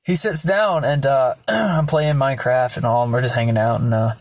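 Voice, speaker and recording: Hoarse young guy vlogging at home, loose and rambling, swears a lot. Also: medium pitch, moderate pace, dry recording, clean studio sound. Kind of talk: casual storytelling vlog. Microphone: self-filmed camera.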